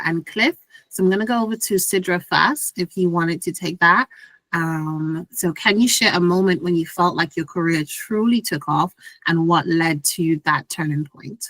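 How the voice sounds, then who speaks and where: A woman moderating an online Q and A, reading out audience questions in a brisk, upbeat way. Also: lowish voice, fairly bright tone, no room sound. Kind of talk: hosting a Q and A.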